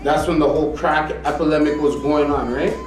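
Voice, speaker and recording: A man's voice: a little high, steady tone, fairly quick. Guy ranting in a podcast debate, pushy, swears a lot, super echoey room. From Canada. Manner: insistent, argumentative, swears often, repeats "you know what I mean". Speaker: podcast co-host. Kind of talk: heated casual debate. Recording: echoey room, mic far off, faint music.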